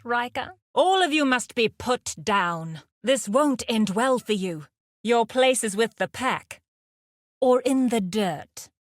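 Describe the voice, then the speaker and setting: Grave, deliberate wilderness huntress. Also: female voice, medium pitch, no room sound, clean studio sound. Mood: challenging. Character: fierce, grim female wilderness huntress and beast scholar. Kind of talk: scripted video game character lines.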